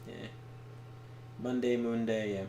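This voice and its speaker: A man co-hosting a game stream, chatting casually in a halting, digressive way as he shares bits of trivia. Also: mid-range voice, level tone, warm tone. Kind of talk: casual rambling chat. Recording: echoey room, faint background noise.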